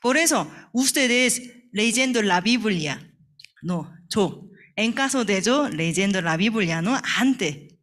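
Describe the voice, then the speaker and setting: A woman from South Korea with a Korean accent and Korean as her first language, teaching the Bible to a congregation in halting, simple phrases with short check questions. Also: medium pitch, animated, fairly bright tone.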